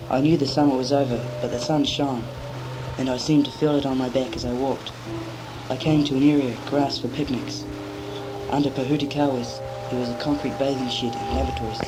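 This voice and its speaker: A man's voice: mid-range voice, natural voice. A teenage boy playing a weary, solitary man who tells his story in scripted first-person narration on a noisy amateur cassette recording. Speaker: narrator and lead in a school drama.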